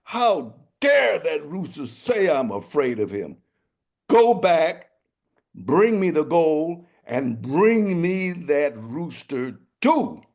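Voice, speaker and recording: A man's voice: a little high, voice pushed up, exaggerated voice. A man doing a raging, blustering king. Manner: blustering, shouting orders. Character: furious gluttonous old king, male.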